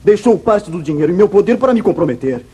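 Casting: wronged wayward son, Brazilian accent, very raspy, animated and earnest, 1950s radio melodrama. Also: male voice, high-pitched, fast. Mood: accusing. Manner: emotional, dramatic, earnest. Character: anguished, falsely accused young wayward son. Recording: muffled vintage radio drama recording.

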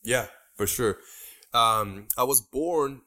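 A man's voice: mid-range voice, slow, bright, forceful tone. A man in his twenties from Iran, a podcast guest telling his story steadily with frequent ums. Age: 20s. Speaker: guest YouTuber. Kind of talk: interview answers.

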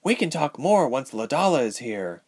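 A man's voice: medium pitch, big pitch swings, normal speed, a little mellow, slightly rough, pitched above the performer's own voice. A man voicing a young woman ice mage in an audiobook story, wary and dryly humorous, with a questioning manner. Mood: sympathetic. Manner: questioning, dry.

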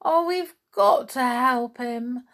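A woman's voice: high-pitched, takes it slowly, creaky, a bit bright, pitched above the performer's own voice, full character voice. A woman voicing a bold, kindly female mouse in a children's storybook, confident and encouraging. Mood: concerned. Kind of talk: children's storybook read aloud.

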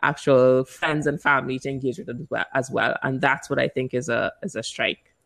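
A woman in her forties from Trinidad with a Trinidadian accent, answering interview questions as a marketing agency owner. She talks in an animated way, laughs often and stretches words for emphasis. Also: extremely low pitch, moderate pace, creaky. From Trinidad and Tobago.

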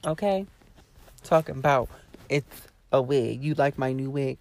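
Hoarse, sassy woman vlogger. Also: extremely low pitch, unhurried, a little mellow. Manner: sassy, blunt, swears freely, talks straight to viewers. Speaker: YouTuber. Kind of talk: casual vlog rant to camera.

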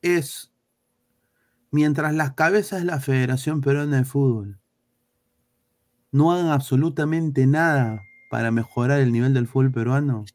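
A man's voice: mid-range voice, a little mellow. A man from Peru with a Peruvian Spanish accent, ranting bluntly and crudely as a football talk host. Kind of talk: heated casual football talk.

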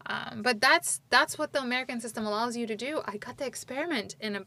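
A woman's voice: on the high side, quick pace, sharp tone, no room sound. Chatty woman from Turkey in her forties. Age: 40s. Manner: rambling, restarts sentences, frequent "like". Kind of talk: casual conversation.

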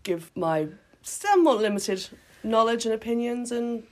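A woman in her late thirties from Britain, hoarse with a cold, chatting casually as a podcast co-host and often restarting her sentences. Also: fairly high pitch, animated, unhurried, a little mellow. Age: late 30s. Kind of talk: casual chat. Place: sitting on the floor in a home play fort.

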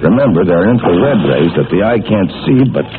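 A very noisy, tinny old radio recording carries a grown American uncle who leads young adventurers. His voice sits a little low, and he speaks with measured, careful authority in vintage serial style. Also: big pitch swings. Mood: thoughtful.

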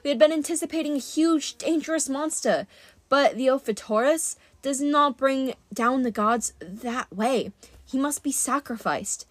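A woman's voice: high-pitched, unhurried, no echo, pitched above the performer's own voice. A woman voicing a solemn ancient huntress girl in an audiobook, with formal, portentous phrasing. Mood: grave.

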